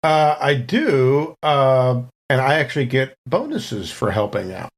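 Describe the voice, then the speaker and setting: A man's voice: medium pitch, expressive, measured pace. A man playing a tabletop game, chatting casually and hesitantly as he thinks aloud.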